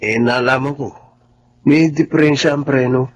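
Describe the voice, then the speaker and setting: A man acting a loyal, earnest family driver in a Filipino radio drama, soft-spoken and respectful. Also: medium pitch, steady tone, normal speed, muffled recording, a little hiss or hum, natural voice. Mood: earnest, worried.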